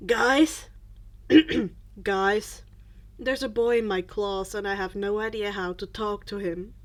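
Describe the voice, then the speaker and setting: A woman voicing a grumpy, socially awkward teenage boy, dry and low-spirited, in a fan fiction audiobook. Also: slow, natural voice. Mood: awkward, worried.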